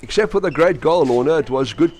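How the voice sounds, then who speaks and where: A man's voice: on the high side, animated, fast talker, a little mellow. A man with a South African accent, a native Afrikaans speaker, giving live match commentary and cheering on his team. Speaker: sports commentator.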